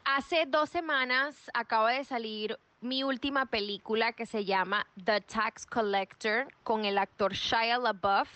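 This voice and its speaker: Animated young woman, slightly high voice. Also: dry recording. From Venezuela. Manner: animated, quick restarts and repeats. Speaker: actress guest. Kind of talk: interview answers.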